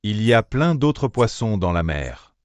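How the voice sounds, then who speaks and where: A man voicing a loyal young assistant in a dubbed drama, reporting in a brisk, matter-of-fact way. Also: lowish voice, very animated, dry recording. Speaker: assistant to the boss.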